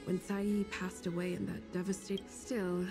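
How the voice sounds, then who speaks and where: A woman's voice: on the low side, little pitch movement, normal speed. Woman gamer over prominent music. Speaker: gamer reading and reacting. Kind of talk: casual game playthrough commentary.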